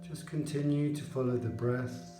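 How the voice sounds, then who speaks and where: A man leading a guided yoga relaxation as an instructor, speaking in a measured, soothing manner with pauses. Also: mid-range voice, fairly even intonation, echoey room, music in the background, low-quality recording. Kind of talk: guided relaxation meditation narration.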